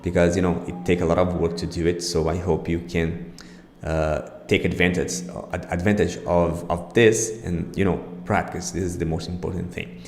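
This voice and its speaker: Male pronunciation teacher, deep and gravelly, reassuring, recorded in an echoey room. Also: flat delivery, moderate pace, a little hiss or hum. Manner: encouraging, reassuring. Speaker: pronunciation teacher and show host. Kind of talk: informal pronunciation lesson. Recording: echoey room with long reverb.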